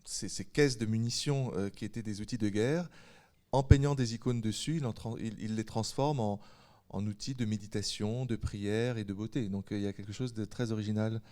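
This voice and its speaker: A man's voice: fairly low pitch, a little fast, dark tone. A man hosting a panel discussion, putting thoughtful questions and rephrasing them as he goes. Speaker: host and moderator of a panel discussion.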